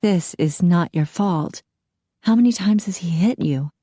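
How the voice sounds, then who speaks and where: A woman's voice: on the low side, unhurried, warm tone, no room sound, studio quality. A woman voicing a young female police officer who speaks firmly and urgently. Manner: firm, urgent, commanding.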